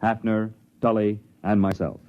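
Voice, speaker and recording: A man's voice: on the low side, little pitch movement, measured pace, dull audio, a little hiss or hum, natural voice. A man playing a scientist dictating a terse, formal log in a 1950s radio drama, on an old recording. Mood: matter-of-fact. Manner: clipped, formal, report-like.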